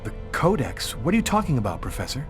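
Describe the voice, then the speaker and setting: A man's voice: mid-range voice, huge pitch swings, fast. An actor playing a guarded, earnest man on the run in a video game, speaking in a subdued, serious way. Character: guarded, earnest male fugitive posing as a journalist. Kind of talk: naturalistic video game acting. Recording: music behind the voice.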